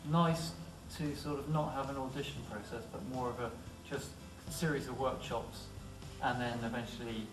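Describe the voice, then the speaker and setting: Mid-pitched man presenting in an echoey room. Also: fairly even intonation, normal speed, few pauses. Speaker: actor introducing and questioning castmates. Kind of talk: informal group presentation. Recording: roomy, distant mic, faint music.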